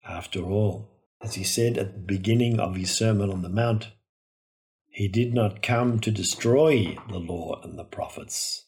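Slow-speaking man preaching a reflective homily. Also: lowish voice, darkish tone. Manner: measured, solemn, deliberate phrasing with pauses. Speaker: preacher giving a Gospel homily. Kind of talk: reflective scripted sermon.

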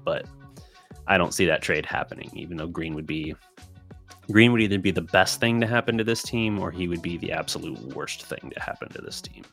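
Deep-voiced man with a scratchy voice. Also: a little fast, gravelly, fairly bright tone, faint music behind. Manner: thinking aloud, with restarts and fillers. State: voice getting scratchy and raspy. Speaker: podcast host. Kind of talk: solo sports podcast with listener questions.

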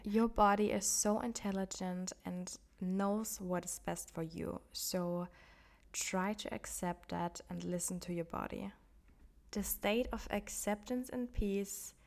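A woman hosting a solo wellness podcast while sick with the flu, so her voice sounds off; she talks calmly and gently, with soft, nearly whispered moments. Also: medium pitch. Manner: calm, gently encouraging self-care advice. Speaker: podcast host.

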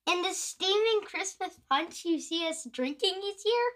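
A woman's voice: very high-pitched, lively intonation, measured pace, a bit bright, exaggerated voice. A woman playing a poor, hungry family member in a radio drama, wry and pointed. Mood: wry, earnest.

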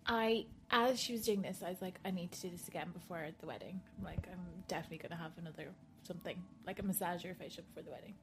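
A woman with an Irish accent chatting casually as a podcast guest, relaxed and quick to laugh. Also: lowish voice, music in the background. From Ireland. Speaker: guest, bride-to-be. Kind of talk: casual chat.

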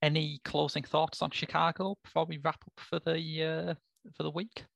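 A man's voice: a little high, level tone, normal speed, gravelly, fairly bright tone, no echo. A man co-hosting a casual podcast chat, with a dry, wry sense of humor and a thoughtful way of talking. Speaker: podcast co-host.

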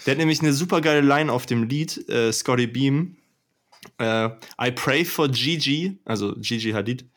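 A man's voice: mid-range voice, moderate pace, fairly bright tone. A young man co-hosting a podcast, chatting casually and emphatically about rap albums. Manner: enthusiastic, emphatic, slangy. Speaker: podcast co-host. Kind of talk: casual chat about music.

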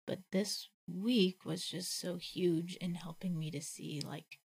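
A woman talks slowly and thoughtfully in a relaxed conversation about faith, leaning on "like" as she thinks aloud. Her voice is husky with a gravelly fry and sits a little low. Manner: reflective, thinks aloud with many "like" fillers. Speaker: podcast co-host.

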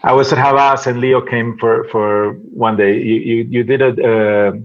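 A man from Venezuela, speaking English as a guest on a podcast, halting and repeating words as he thinks aloud. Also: mid-range voice, fairly even intonation, dull audio. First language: Spanish. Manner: halting, many repeated words, soft laughs. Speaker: podcast guest, advertising creative. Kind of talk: casual interview chat.

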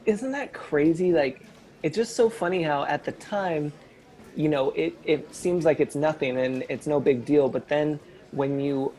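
A man's voice: fairly high pitch, darkish tone, low-quality recording. A man hosting an entrepreneurship podcast, speaking in an upbeat, conversational way and encouraging his guest. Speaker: podcast host. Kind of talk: podcast intro and interview.

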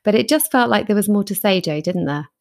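A woman's voice: medium pitch, lively intonation, a little fast, gravelly. Teasing woman podcast host. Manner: friendly, teasing, encouraging questions. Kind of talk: interview hosting.

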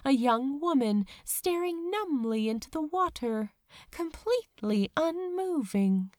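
A woman narrating a story from a script, with expressive, dramatic phrasing and deliberate pauses. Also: high voice, no room sound, studio quality. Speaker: audiobook-style reader of fan fiction.

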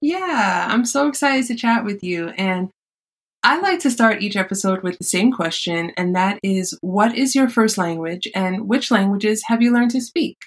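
A woman's voice: medium pitch, animated, normal speed. A woman hosting a podcast, chatting casually and laughing along with her guest. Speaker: podcast host. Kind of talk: casual interview chat.

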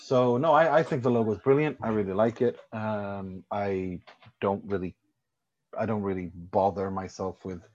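A man's voice: fairly low pitch, steady tone, moderate pace, a little mellow, lo-fi sound. A man from Sweden, a football journalist guesting on a podcast, explaining his views at length with firm confidence. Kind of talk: casual podcast discussion.

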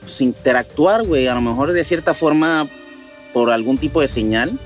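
A man's voice: medium pitch, moderate pace, soft background music. A man co-hosting a paranormal news show, reading items aloud and then reflecting casually in hesitant, searching phrases.

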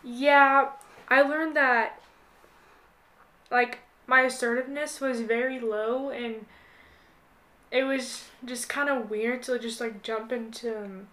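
Young woman, higher voice, flat intonation, informal, casual podcast guest. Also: slow, pauses often. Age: young adult. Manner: informal, frequent "like". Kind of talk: casual chat.

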